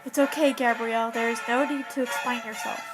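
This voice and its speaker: A woman's voice: on the high side, fairly even intonation, brisk, close to an everyday voice, low-quality recording. A woman acting a level-headed, wry, needling suburban housewife in a slangy 1940s radio drama. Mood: probing, soothing. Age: teens. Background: music.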